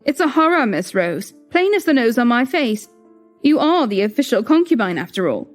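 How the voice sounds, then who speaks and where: A woman's voice: on the high side, big pitch swings, normal speed, soft background music. A woman playing a loyal, gossipy young maid in an audio drama, emphatic and eager to please her mistress. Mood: indignant. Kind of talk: melodramatic audio drama acting.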